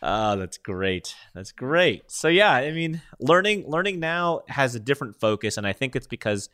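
A man's voice: medium pitch, very animated, moderate pace, pushed and bright, clean tone. A man in casual conversation who laughs easily and repeats words as he thinks aloud. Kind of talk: casual chat.

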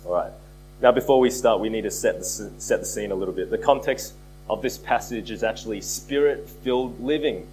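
Male pastor, lowish voice, hoarse with a cold, earnest sermon delivery that repeats key phrases, faint mains hum. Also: brisk, a little mellow, low-quality recording. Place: church.

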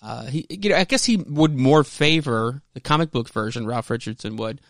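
A man gives casual podcast commentary in a middle-pitched voice. He rambles, hesitates and interrupts himself, with loose, profane phrasing. The recording is dry, with hardly any room sound. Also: moderate pace, a bit bright, dry recording. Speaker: podcast host.

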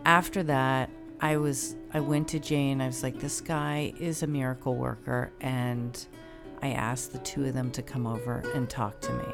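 A woman's voice: very deep voice, little pitch movement, normal speed, music in the background. A woman hosting a podcast, narrating and interviewing in a wry, self-deprecating storytelling manner. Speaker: podcast host.

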